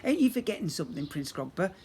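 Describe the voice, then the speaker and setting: A man's voice: high-pitched, sing-song, fast talker, a little mellow. A man voicing a sly, bold old granny in a children's story, folksy and taunting. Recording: clear background noise.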